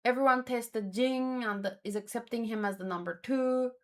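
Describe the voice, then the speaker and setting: A woman's voice: fairly high pitch, moderate pace. A woman giving casual reaction commentary as a host, thinking aloud in a musing, hesitant way and often repeating words. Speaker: host of a manga read-along.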